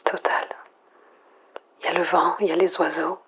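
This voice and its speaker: A woman playing a lonely, frightened last survivor, murmuring a halting, introspective audio log in a breathy, husky near-whisper. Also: fairly low pitch, flat delivery, a bit bright. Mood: wistful, resigned. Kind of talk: hushed monologue, analog horror audio log.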